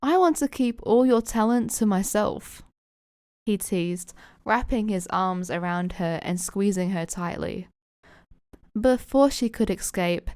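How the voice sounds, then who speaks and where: Slow, smooth female story narrator. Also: mid-range voice, a little mellow, dry recording, clean studio sound. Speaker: fan fiction narrator.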